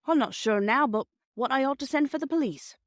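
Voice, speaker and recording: A storybook station master, a blunt and officious man acted out by a woman reader. The speech is colloquial and businesslike, pitched above the reader's narration, and recorded very dry and close. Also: fairly quick, no echo, natural voice. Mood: grudging, puzzled.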